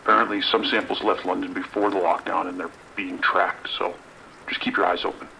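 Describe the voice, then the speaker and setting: A man's voice: sits low, level tone, brisk, creaky, bright, forceful tone, slight background noise, full character voice, poor audio. A man playing a stern, authoritative sheriff's lieutenant with a clipped delivery in an audio drama. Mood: guarded, commanding.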